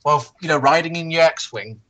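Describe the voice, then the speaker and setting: A man chatting casually as a group commentator, halting and tentative in how he talks. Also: fairly high pitch, bright, forceful tone. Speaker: commentator in a group dramatic reading. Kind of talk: casual chat.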